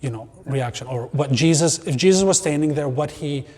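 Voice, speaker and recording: A man's voice: fairly high pitch, brisk, a little mellow. Young guy born in syria, earnest and thoughtful, restarts a lot, long hall echo. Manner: reflective, earnest, with frequent restarts. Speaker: church member, guest. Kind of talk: conversational interview.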